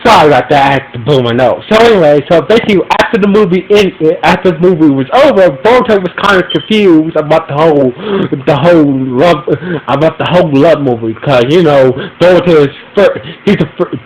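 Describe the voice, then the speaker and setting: A man's voice: a little high, a little fast, slight background noise, rough recording. Congested man rambling through a recap. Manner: rambling, repeats phrases and restarts often. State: stuffy nose, congested. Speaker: podcast host reviewing an episode. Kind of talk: casual spoken episode recap. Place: room at home with a fan running. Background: humming fan.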